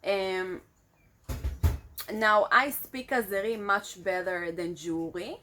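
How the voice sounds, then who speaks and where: A woman in her twenties from Israel, a native Hebrew speaker with an Israeli accent, talking reflectively in a casual vlog and often pausing with fillers. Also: medium pitch, steady tone, bright, forceful tone, a little hiss or hum. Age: 20s. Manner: reflective, searching for words with many fillers. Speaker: vlogger. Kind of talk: casual personal vlog monologue.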